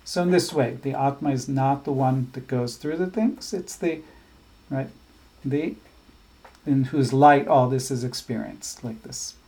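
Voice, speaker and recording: A man teaching a scripture class, explaining ideas in a thoughtful, halting way with frequent restarts. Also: mid-range voice, a little mellow, poor audio. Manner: chants verses slowly. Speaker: spiritual teacher.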